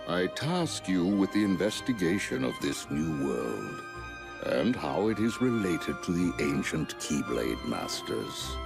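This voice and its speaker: Solemn elder master for a game cutscene: low, creaky, slow and commanding, music underneath. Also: male voice, big pitch swings, heavily acted. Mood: solemn approval. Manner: measured, commanding, deliberate. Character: wise, solemn elder male master giving orders. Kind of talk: video game cutscene acting. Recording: game dialogue over music.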